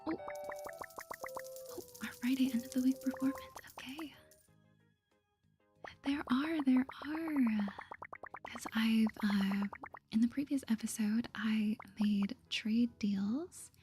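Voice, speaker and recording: A woman whispering softly in a gentle, polite, chirpy way as she hosts an ASMR game playthrough. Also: a little high, takes it slowly, long pauses, over music. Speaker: gaming video host.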